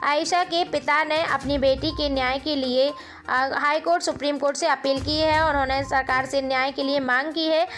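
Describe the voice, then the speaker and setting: Female online news presenter, high voice, informal direct-to-viewer narration, light music bed underneath. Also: fairly even intonation, moderate pace, fairly bright tone.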